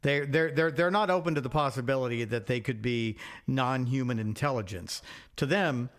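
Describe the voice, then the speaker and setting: A man's voice: mid-range voice, normal speed, a bit bright. A man co-hosting a podcast, musing in a plain-spoken, wry way as he shares his opinions in casual chat. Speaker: podcast co-host.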